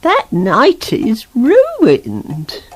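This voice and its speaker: A woman's voice: a little high, wildly swooping pitch, drawn-out words, heavily acted. An actress playing a homely, scolding old grandmother in a radio play, no-nonsense and colloquial. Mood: exasperated.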